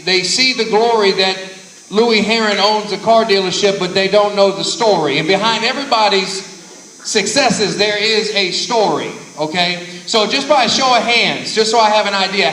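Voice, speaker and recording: Energetic man in his thirties, high and bright, preaching to kids in a large echoey hall. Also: distant mic, a little hiss or hum. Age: 30s. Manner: energetic, repetitive, preacherly emphasis. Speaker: guest speaker. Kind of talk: motivational speech to kids. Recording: echoey hall recorded from afar.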